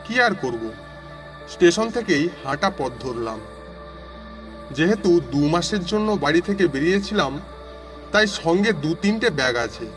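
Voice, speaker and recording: A man's voice: fairly high pitch, lively intonation, normal speed, long pauses, a bit bright, faint music behind. A man voicing a village traveller who narrates his suspenseful walk home as a dramatic first-person audio story. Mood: measured. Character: male villager walking home through a storm, narrating.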